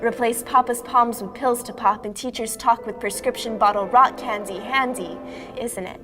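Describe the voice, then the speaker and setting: A woman with a fairly high voice performs a slam poem. Her delivery is rhythmic and emphatic, and music plays clearly behind her. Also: fairly even intonation, normal speed. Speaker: slam poet. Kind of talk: performed spoken-word poetry.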